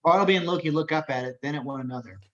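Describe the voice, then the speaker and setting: A man with a fairly high voice narrates screenplay action at a table read. He reads the scripted description, and the recording is dull and lo-fi. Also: rapid-fire. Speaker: reader of stage directions. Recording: dull, lo-fi microphone sound.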